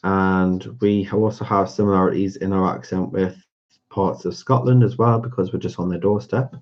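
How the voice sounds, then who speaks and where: A man's voice: low-pitched, fairly even intonation, moderate pace, a little mellow. A man with a North East England accent, chatting casually as host of a colouring livestream, rambling and hesitant with many fillers. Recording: dull lo-fi sound.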